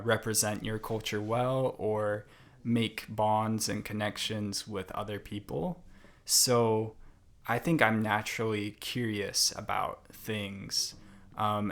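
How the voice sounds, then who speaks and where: A young man as a podcast guest, chatting in a thoughtful, unhurried way with many fillers and restarts. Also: on the low side, fairly bright tone. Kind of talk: casual interview conversation.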